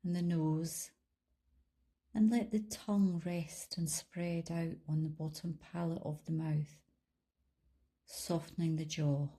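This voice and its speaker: Slow, mellow woman guiding meditation. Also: low voice. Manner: calm, soothing, unhurried, with long pauses. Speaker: meditation guide, life coach. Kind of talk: guided body scan meditation, instructional.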